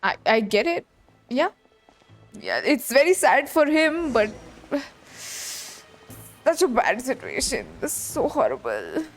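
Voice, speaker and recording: A young woman streamer giving an emotional, halting, informal live reaction to a video game. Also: sits high, big pitch swings, vocal fry. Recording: game music behind.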